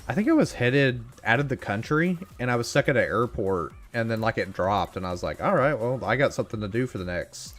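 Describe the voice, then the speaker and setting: A man's voice: medium pitch, expressive, fairly quick, hardly any pauses, a bit bright, soft background music. A man in his twenties chatting casually while streaming a mobile game, joking and laughing often. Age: 20s. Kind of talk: casual gaming livestream chat.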